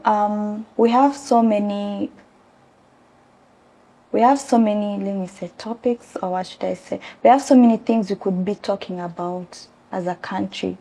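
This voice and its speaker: A young woman from South Sudan answering interview questions earnestly, pausing often with ums. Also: mid-range voice, dark tone. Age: young adult. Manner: earnest, hesitant with many ums. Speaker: interview guest. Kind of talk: conversational interview.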